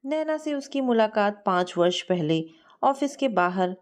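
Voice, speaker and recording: A woman narrating a scripted story in an expressive, sentimental manner. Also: medium pitch, a little slow.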